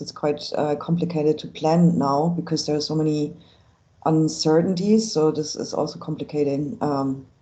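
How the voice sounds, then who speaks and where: Heat-planning expert on a panel; careful, filler-heavy, mellow tone. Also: mid-range voice, lo-fi sound. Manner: careful, hedging, many fillers. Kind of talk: panel discussion.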